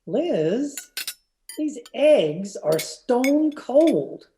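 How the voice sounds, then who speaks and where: A man's voice: extremely high pitch, big pitch swings, extremely slow, dark tone, exaggerated voice. A man playing an exasperated, skeptical husband with a dry, sardonic manner in an old-time radio comedy. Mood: puzzled. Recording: roomy, echoing home recording with noise.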